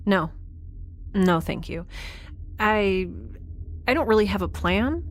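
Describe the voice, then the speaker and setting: A woman's voice: mid-range voice, animated, takes it slowly, a little hiss or hum. A woman playing a curious, wry young scientist aboard a spaceship in an audio drama, speaking in a hesitant, understated way. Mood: subdued, tender. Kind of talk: naturalistic audio drama acting. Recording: audio drama with clear background ambience.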